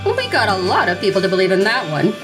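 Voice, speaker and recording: A woman's voice: medium pitch, wildly swooping pitch, fast talker, over music. A woman playing a gleefully sly, scheming government official in an audio drama, exaggerated and airily condescending. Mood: smugly sly.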